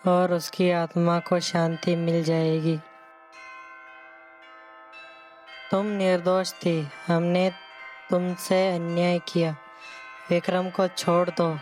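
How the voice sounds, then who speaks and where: A woman playing a frightened male villager in a horror cartoon, with flat, earnest delivery. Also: fairly low pitch, takes it slowly, soft background music. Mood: earnest, resolved. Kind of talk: scripted cartoon dialogue.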